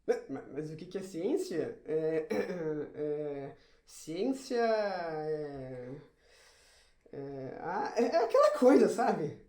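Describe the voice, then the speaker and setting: A woman's voice: low voice, big pitch swings, extremely slow, warm tone, full character voice. A woman playing a whiny, panicky boy in an audio drama, stammering with exasperation. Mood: exasperated. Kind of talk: audio drama stage acting. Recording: roomy, echoing sound.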